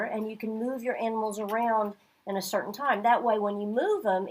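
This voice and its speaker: A middle-aged woman from Oklahoma teaching a class, chatty and checking in with her students as she goes. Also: fairly high pitch. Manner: chatty, checks in with "okay?" often.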